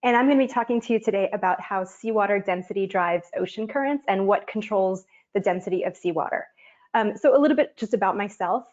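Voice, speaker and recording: A woman's voice: medium pitch, fast, a little mellow. A woman from Miami, a physical oceanographer, giving a friendly explanatory science demonstration over a video call with frequent ums. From Miami, Florida. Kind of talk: online science presentation and demo. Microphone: webcam on a video call. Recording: dull lo-fi video call audio.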